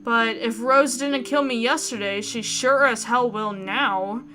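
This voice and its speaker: A woman voicing an anxious, caring young woman narrating a visual novel in the first person with expressive introspection. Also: a little high, measured pace. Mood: flustered. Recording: guitar music behind. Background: plucked guitar music.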